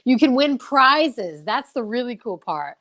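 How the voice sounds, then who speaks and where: A woman's voice: fairly high pitch, big pitch swings, unhurried, a bit bright. A woman hosting a casual online chat show, teasing her companions and making showy announcer-like asides to the audience. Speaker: host.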